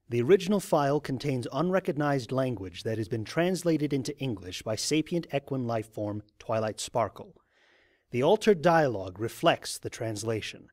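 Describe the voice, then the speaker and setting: A man voicing an impassive flight recorder computer in an audio drama, making formal, deliberate system announcements. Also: medium pitch, a little mellow, no echo, studio quality, natural voice.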